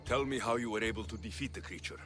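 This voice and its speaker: Actor as a low-voiced, resolute warrior hero. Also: male voice, fast, over music, natural voice. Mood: resolute, probing. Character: brave, blunt adult Greek mercenary warrior hero. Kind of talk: dramatic video game acting.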